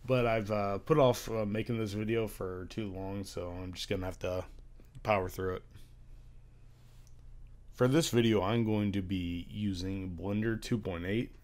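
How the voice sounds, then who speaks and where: A young man with a very low, congested voice walks through a software tutorial, his throat strained from a recent illness. He hesitates often with filler sounds as he works, and keyboard typing is heard behind him. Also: steady tone, a little slow. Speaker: tutorial creator. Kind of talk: screen-recorded software tutorial.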